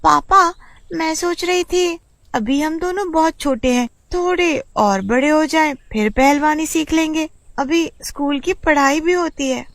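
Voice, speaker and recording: A woman voicing an excitable young girl in a cartoon who dreams of wrestling, talking in shrill exclamations. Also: a little slow, dry recording, poor audio. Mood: excited, pleading. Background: faint music.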